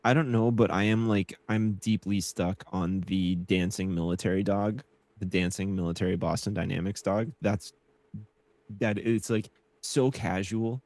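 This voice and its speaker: A man co-hosting a live stream in casual, animated, profane talk, often stammering and restarting. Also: fairly low pitch, a little slow, no room sound. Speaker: podcast co-host.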